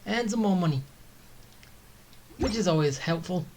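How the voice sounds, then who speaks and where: A man's voice: sits high, expressive, normal speed, a little mellow. Man commentating over noisy gameplay. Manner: muttering to self while playing. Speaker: gamer, let's-play host. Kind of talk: casual live gameplay commentary.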